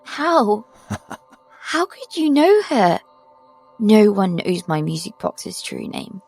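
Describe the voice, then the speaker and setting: A proud, ageless little girl in an audio drama. Her voice is husky and gravelly, and she delivers sharp, cool retorts at a deliberate pace over faint music. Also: medium pitch, big pitch swings, full character voice. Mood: shocked. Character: defiant, proud ancient being in little-girl form.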